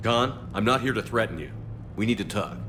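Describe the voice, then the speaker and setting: An actor playing a dry-witted, confident military commander in a video game, speaking curtly and deadpan. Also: male voice, fairly low pitch, a little fast, noisy background, lightly acted. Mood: calm. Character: steady, dry-witted male military commander, space hero. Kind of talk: cinematic video game dialogue.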